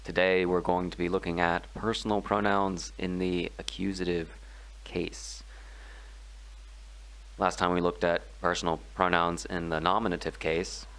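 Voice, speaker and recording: Slow, deep-voiced man teaching grammar. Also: level tone, creaky, fairly bright tone, rough recording. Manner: slow, measured explaining with frequent ums and pauses. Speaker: language tutor. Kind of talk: screen-recorded grammar tutorial.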